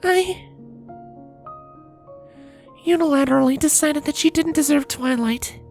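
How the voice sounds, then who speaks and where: A man's voice: very high voice, measured pace, creaky, faint music behind, voice pushed up, full character voice. A man voicing a sulking female pony princess, whining and mumbling through a fan fiction story. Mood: sheepish, grudging.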